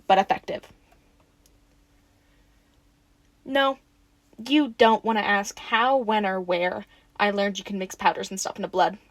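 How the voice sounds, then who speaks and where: A woman acting in an audio roleplay as a friendly, excitable young woman who rambles casually and gets playfully defensive. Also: mid-range voice, no echo, close to an everyday voice. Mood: friendly, chatty.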